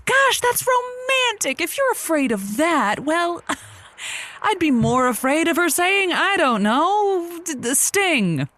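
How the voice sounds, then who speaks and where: An actress playing a classy, haughty female radio advice host in an audio comedy, with florid, mannered speech and a sharp tongue. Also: extremely high pitch, sing-song, slow, no room sound, exaggerated voice. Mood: gushing, dramatic. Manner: florid, mannered, affectionate pet names. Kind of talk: scripted audio comedy, call-in advice show.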